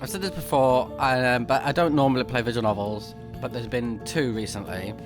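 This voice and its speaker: A man's voice: medium pitch, fairly even intonation, normal speed, music in the background. A man recording a let's play, switching between casual off-the-cuff commentary and reading game text aloud. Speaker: let's player, game streamer.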